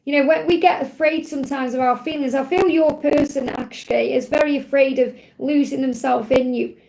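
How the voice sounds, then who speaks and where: A woman hosting a live spiritual card reading, her voice croaky from overwork, reassuring and repeating her key phrases. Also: sits high, fairly even intonation, moderate pace, darkish tone, low-quality recording. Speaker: spiritual card reader, livestream host.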